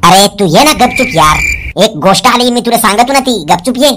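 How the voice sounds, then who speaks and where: A man's voice: high voice, animated, a little fast, noisy background. A man voicing a boastful, lovesick young village man in a comic cartoon, with an insistent, wheedling way of talking. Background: loud music.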